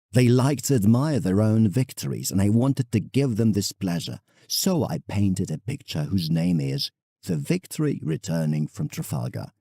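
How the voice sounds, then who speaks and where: A man's voice: fairly low pitch, vocal fry, mellow, slightly rough, no room sound, studio quality, full character voice. A man playing a proud, reflective English landscape painter in an audio drama, telling his travels formally as a letter to a friend.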